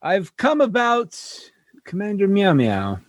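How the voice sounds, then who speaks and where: Exasperated, tactless male space captain, played by a man for radio comedy. High voice with big swoops, blustering and pleading. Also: huge pitch swings, slow, exaggerated voice. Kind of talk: sci-fi comedy radio acting.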